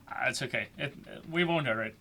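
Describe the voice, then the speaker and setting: A man's voice: medium pitch, fairly quick, gravelly, sharp tone. A man hosting a livestream, interviewing in a friendly, chatty, casual way. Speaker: livestream host.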